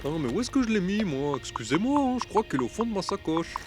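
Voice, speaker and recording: A man acts out a chatty, confident but scatterbrained spaceship pilot. His delivery swings widely in pitch, casual and muttering. Music plays prominently behind this sci-fi audio comedy. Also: very animated, fast. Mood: flustered, polite.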